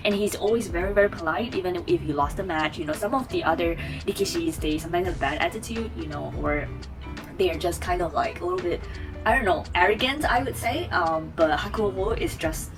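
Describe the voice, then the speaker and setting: A woman's voice: on the low side, a little fast, over music. A woman in her twenties from Taiwan, chatting to camera as a vlogger, rambling with many fillers and restarts. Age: 20s. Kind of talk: casual chat to camera.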